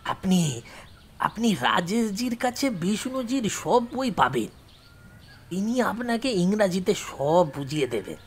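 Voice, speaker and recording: A woman voicing a bossy, talkative caretaker man with a husky, emphatic delivery in an audio story drama. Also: mid-range voice, big pitch swings, takes it slowly, voice pushed down, heavily acted. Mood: explaining. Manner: commanding, scolding, emphatic.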